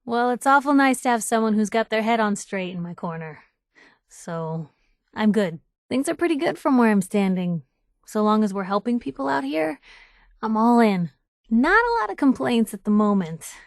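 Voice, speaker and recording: A woman voicing a sharp-witted, idealistic young female reporter companion in a video game, wry and conversational. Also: fairly high pitch, big pitch swings, normal speed, no room sound, studio quality. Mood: warm, upbeat.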